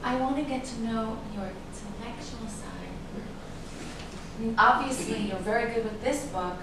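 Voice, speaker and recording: An actress in a staged reading playing a seductive but guarded lover. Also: female voice, a little high, normal speed, lightly acted. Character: seductive, guarded woman, lover of a troubled writer. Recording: echoey room from far off, noisy.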